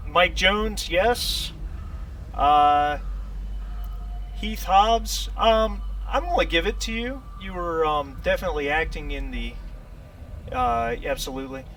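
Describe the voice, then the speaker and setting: A distant-miked man with faint noise behind him runs a tabletop game session. His voice is lively in pitch and a little gravelly, and he talks casually in a halting, hesitant way. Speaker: game master.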